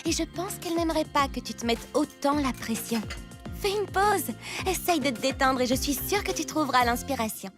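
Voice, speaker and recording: A woman voicing a wise, gentle adviser in a children's cartoon, measured and reassuring. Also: high voice, lively intonation, over loud music. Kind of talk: dubbed children's cartoon acting.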